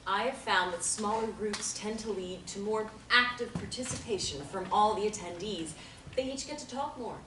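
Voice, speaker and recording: A woman reading the part of a calm group therapist at a table reading. She speaks in a measured, gently encouraging manner. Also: medium pitch, background noise, lightly acted. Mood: reassuring. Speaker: reader of stage directions and the therapist. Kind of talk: table reading of a stage play. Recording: echoey room from a distant mic.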